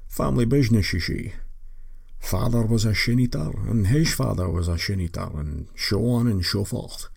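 A man voicing a smug village sin eater who speaks in a husky Sean Connery-style Scottish accent. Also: on the low side, moderate pace, darkish tone. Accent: Scottish, a Sean Connery-style impression. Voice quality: gravelly, husky. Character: gravelly middle-aged male village sin eater, smug. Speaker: interviewee. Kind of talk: comic audio fiction interview.

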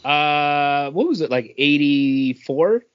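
A man's voice: fairly high pitch, expressive, slow pace, clean tone. A man talking informally and slangily as a co-host on a casual podcast recorded over an internet call. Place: remote voice call from home. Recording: dull lo-fi internet call audio.

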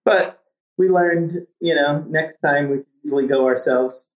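A man talking as a podcast guest in a relaxed, easygoing way. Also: a little high, unhurried. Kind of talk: casual conversation. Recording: echoey room.

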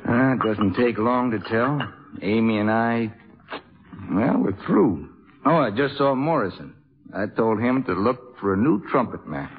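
A man playing a bitter, hard-drinking, disillusioned jazz trumpeter in a 1950s radio drama, talking in slangy, halting phrases. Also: on the low side, lively intonation. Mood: restless, defiant. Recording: tinny 1950s radio recording.

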